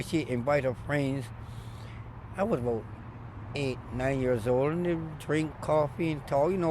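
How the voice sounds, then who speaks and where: A middle-aged man from Barbados, a former barber, telling stories in an animated, emphatic way during a casual outdoor interview by a street. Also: medium pitch. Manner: animated storyteller, loud emphatic bursts. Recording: faint street noise outdoors.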